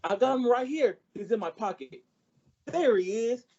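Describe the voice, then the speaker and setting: An eager, folksy teenage boy played by a man in a radio comedy, earnest and polite. The voice is soft-edged and mellow, on a slightly muffled recording. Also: extremely high pitch, animated, moderate pace, a little hiss or hum. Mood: eager, friendly. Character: eager, naive, good-natured teenage schoolboy. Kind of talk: old-time radio comedy acting.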